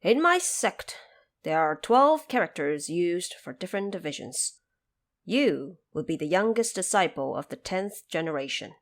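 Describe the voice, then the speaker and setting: A woman voicing a stern, wise old male patriarch in an audio drama, commanding and deliberate as he lectures. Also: fairly low pitch, very animated. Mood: solemn, instructive.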